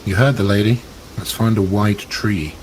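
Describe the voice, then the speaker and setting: A man voicing a brisk male starfleet officer who gives clipped orders to an away team and scolds a junior crew member. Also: fairly low pitch, steady tone, a little hiss or hum, natural voice, poor audio. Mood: commanding. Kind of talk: in-character tabletop roleplay.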